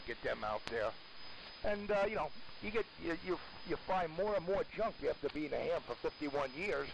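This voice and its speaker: Over a staticky AM ham radio link comes an older man with a gravelly, slightly higher-pitched voice. He talks casually and rambles in a folksy way, with clear noise behind him. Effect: AM shortwave radio. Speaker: amateur radio operator. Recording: noisy AM ham radio transmission.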